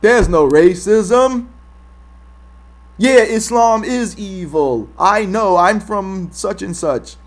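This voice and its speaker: A man's voice: high voice, animated, slow pace. A man voicing a mocking caricature of an eager-to-please woman, in a sneering, singsong way.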